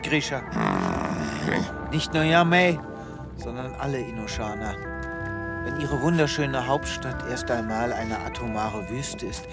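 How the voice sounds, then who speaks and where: A man's voice: mid-range voice, lightly acted. Guy acting theatrical self-pitying animal doc, mid voice, audio drama, music under it. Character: melodramatic, self-pitying male animal doctor.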